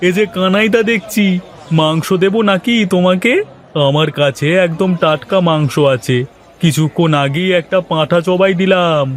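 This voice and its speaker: High voiced cartoon butcher, friendly and keen to sell. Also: male voice, unhurried. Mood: eager, obliging. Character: eager, friendly village butcher selling fresh meat. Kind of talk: cartoon voice acting.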